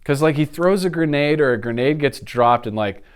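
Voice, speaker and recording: A man in his thirties chatting casually as an interview guest, telling stories in an animated way and acting out reactions. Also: a little high, moderate pace, clean tone. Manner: animated storytelling, acts out reactions and sound effects. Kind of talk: casual chat about films and games. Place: indoor office studio.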